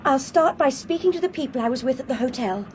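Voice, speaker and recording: A woman's voice: high voice, animated, fast talker, a little mellow. An actress playing an insistent, anxious young Englishwoman on a train in a radio drama. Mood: determined. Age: young adult. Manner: urgent, determined. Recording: noisy train ambience behind voice.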